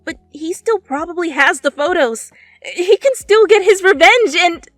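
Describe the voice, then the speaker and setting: A woman voicing an earnest, expressive schoolgirl heroine in an audio fan story. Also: very high voice, a little slow, no echo, clean studio sound, soft background music, pitched above the performer's own voice. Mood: panicked.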